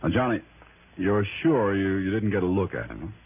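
A man's voice: low voice, sing-song, moderate pace, slightly rough, heavily acted. A man playing a concerned, take-charge friend of an investigator in a 1960s radio drama. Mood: concerned, steadying. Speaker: radio drama supporting actor. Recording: muffled, noisy 1960s radio recording.

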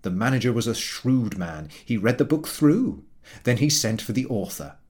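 A man narrating a comic literary story in a formal, measured and expressive storytelling manner. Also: mid-range voice. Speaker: audiobook narrator.

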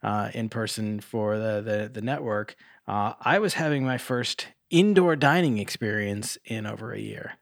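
A man's voice: lowish voice, normal speed, hardly any pauses, no echo, studio quality. A man co-hosting a podcast in casual chat, rambling along and swearing casually. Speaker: podcast co-host.

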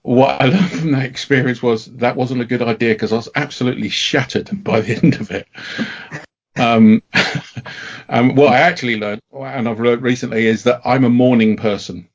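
A man's voice: mid-range voice, normal speed, muffled recording. A middle-aged man co-hosting a podcast, chatting casually with fillers and self-deprecating humour. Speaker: podcast co-host. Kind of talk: casual chat.

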